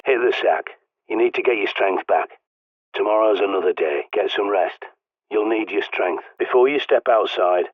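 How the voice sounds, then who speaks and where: A gruff British ex-soldier survivalist on the radio. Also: male voice, fairly low pitch, dry recording. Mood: fatherly. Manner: blunt, dry, fatherly, barks orders when urgent. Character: gruff older male ex-soldier survivalist mentor. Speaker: survival guide over radio. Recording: tinny radio-filtered line, dry.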